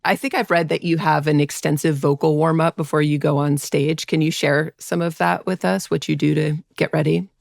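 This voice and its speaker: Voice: medium pitch, steady tone, fairly quick, vocal fry, hardly any pauses, no echo, studio quality. A podcast host asking curious interview questions and giving short, affirming replies.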